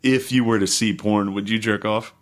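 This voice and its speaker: A man's voice: lowish voice, steady tone, moderate pace. A man co-hosting a comedy podcast, talking casually in blunt, profane, slangy banter. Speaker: podcast co-host.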